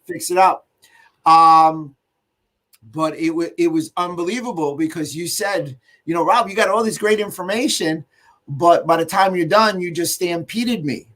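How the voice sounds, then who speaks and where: American man, podcast host, chatty, recounting anecdotes, slightly high voice. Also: moderate pace, fairly bright tone. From United States. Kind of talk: casual interview chat.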